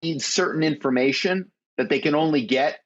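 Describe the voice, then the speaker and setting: A man's voice: a little high, moderate pace. A man, a guest in a conversational interview, explaining how phone tricks get people to give away information. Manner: explanatory, uses phone-call anecdotes. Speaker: guest, former corporate spy.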